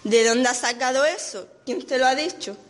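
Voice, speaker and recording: A woman's voice: high voice, normal speed, natural voice. A young woman acting a lovestruck, conflicted teenage girl in an amateur radio soap. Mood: confessing, hesitant. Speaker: student radio drama actor. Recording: amateur school radio play recording.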